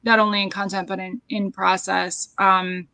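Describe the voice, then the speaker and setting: A woman in middle age answers as a guest author, pausing and searching for words in a reflective way. Her pitch is average and the sound is very dry. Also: level tone, sharp tone, dry recording. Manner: hesitant, reflective, halting. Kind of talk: casual interview answers. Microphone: headset on a video call.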